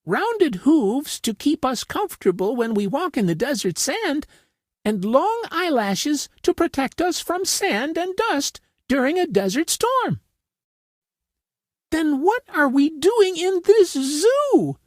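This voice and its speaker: Very high-pitched baby camel. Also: male voice, very animated, slow pace, no room sound, studio quality, full character voice. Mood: thoughtful, questioning. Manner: questioning, childlike. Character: curious young male baby camel, innocent and eager.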